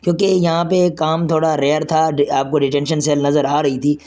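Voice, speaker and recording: A hoarse man with a Pakistani Urdu accent, a construction site engineer walking an outdoor road works and explaining each step to his vlog viewers. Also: a little high, level tone, moderate pace, a little mellow. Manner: explanatory, pointing things out step by step.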